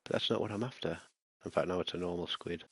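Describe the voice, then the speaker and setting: A man's voice: sits low, quick pace, a bit bright, breathy edge, dry recording. A man chatting casually to himself while playing a survival game, muttering and breaking into alarmed bursts when enemies appear. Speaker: gaming channel host. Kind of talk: casual let's-play commentary.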